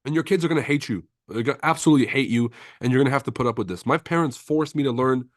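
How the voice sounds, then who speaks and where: A man's voice: medium pitch, very fast, dry recording, studio quality. Brash profane man hosting a trading stream. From Lebanon. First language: French. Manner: brash, profane, laughs often, calls viewers bro. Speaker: trading livestream host. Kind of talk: casual livestream chat reading viewer comments.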